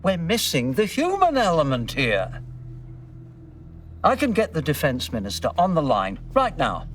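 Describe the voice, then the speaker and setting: Distant, higher-voiced principled police commissioner. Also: male voice, huge pitch swings, measured pace, fairly bright tone, faint music behind, exaggerated voice. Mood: earnest, concerned. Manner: earnest, formal objecting. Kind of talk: game cutscene acting.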